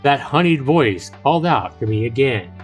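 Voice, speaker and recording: A man's voice: medium pitch, lively intonation, moderate pace, music in the background. A middle-aged man hosting a livestream, reading a visual novel aloud with casual asides to viewers and occasional stumbles over the text. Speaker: livestream host.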